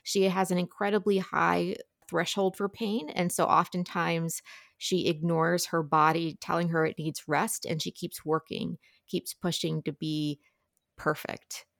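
Creaky-voiced woman reviewing books. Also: lowish voice, level tone, measured pace, no room sound, clean studio sound. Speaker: book reviewer and podcast guest. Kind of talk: conversational book review.

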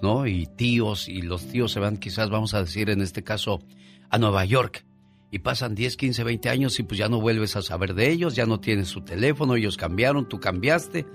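A man hosting a call-in radio show alone in the studio, chatting warmly and affectionately with a caller. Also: on the low side. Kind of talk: live call-in radio talk. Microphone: studio microphone.

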